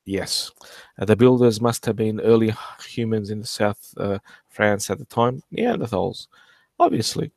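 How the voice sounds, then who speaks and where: A man's voice: on the low side, expressive, unhurried, vocal fry, darkish tone, no echo. A man hosting a casual live stream about archaeology, rambling with many fillers and restarts, swearing and joking with his chat. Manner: swears freely and jokes with the chat.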